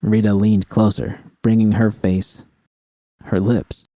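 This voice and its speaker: Very dark, mellow male narrator. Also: lowish voice, flat delivery, slow, no echo. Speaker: audiobook narrator. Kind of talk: first-person scripted fiction narration.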